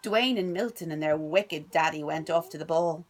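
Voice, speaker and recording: A woman's voice: low voice, normal speed. A woman reading a picture book aloud to her little son at home, playful and sing-song. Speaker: parent reading to a child.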